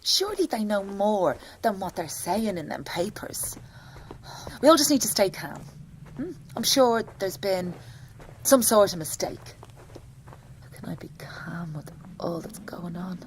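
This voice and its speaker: Slow-speaking anxious young mother. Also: mid-range voice, sing-song, a little hiss or hum, rough recording. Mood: anguished, then forced calm. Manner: hushed, breathy inner thoughts broken by spoken-aloud outbursts. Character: anxious working-class young Liverpool mother fearing her husband drowned. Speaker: actor in a solo audio play. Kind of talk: intimate dramatic monologue.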